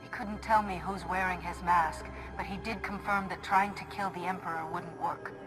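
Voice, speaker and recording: An actress playing a composed, decisive Jedi hero in a video game cutscene. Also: female voice, fairly low pitch, steady tone, moderate pace, music in the background, natural voice, poor audio.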